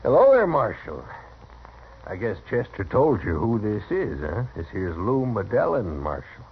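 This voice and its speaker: A low but swooping rural American cowboy, talkative and boastful. He stammers and fawns like an excitable hanger-on in an old radio Western. The recording is dull. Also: measured pace, slight background noise, heavily acted. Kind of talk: 1950s Western radio drama acting.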